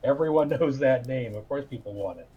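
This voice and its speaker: A man's voice: medium pitch, brisk, husky. A man playing in a group online game, telling stories in casual, conversational chat. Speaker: player in a group gaming stream. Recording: dull, lo-fi voice-chat audio.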